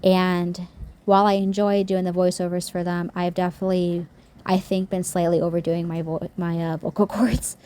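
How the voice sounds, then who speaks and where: A woman's voice: lowish voice, level tone, normal speed, mellow. Chatty woman with a scratchy throat. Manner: chatty, rambling, many restarts. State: slightly scratchy, sore throat. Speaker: nail art YouTuber. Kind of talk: casual voiceover chat.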